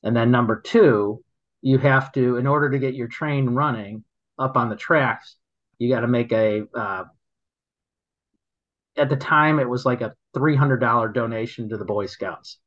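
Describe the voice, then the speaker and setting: Rambling man, slightly muffled recording. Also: medium pitch. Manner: rambling, halting, laughs often. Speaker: guest, local business owner. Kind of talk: casual storytelling chat.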